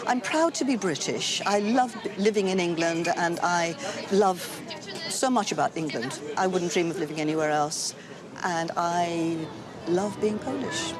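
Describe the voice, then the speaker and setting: A woman's voice: on the low side, unhurried, a crowd in the background. A woman interviewee speaking emphatically in a casual television interview.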